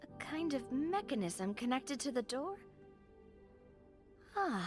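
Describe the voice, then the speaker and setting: A woman's voice: high-pitched, sing-song, slow, soft background music, exaggerated voice. An actress voicing an eager young female adventurer in a video game, upbeat and curious.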